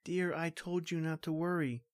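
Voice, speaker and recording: A man's voice: on the high side, fairly even intonation, moderate pace, close to an everyday voice. An actor playing a young state trooper reassuring his sweetheart, in tender old-time radio drama style.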